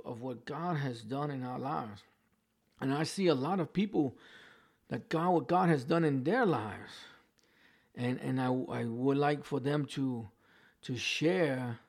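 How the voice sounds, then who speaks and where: A man's voice: a little high, creaky, darkish tone. A man with a New York accent teaching a Bible passage in a loose, conversational way, often restarting his phrases and checking in with "right?" and "you know". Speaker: preacher. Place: home with a dog barking in the background.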